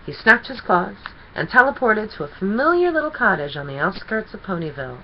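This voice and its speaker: A woman reading a children's story aloud as an expressive storyteller. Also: mid-range voice, brisk, highs cut off, slight background noise.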